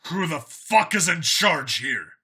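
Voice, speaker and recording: A man's voice: a little high, very animated, slow pace, pushed and bright, breathy edge, voice pushed up, full character voice. A man playing a hard, angry human cop in an audio sci-fi story, blunt and demanding. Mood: furious.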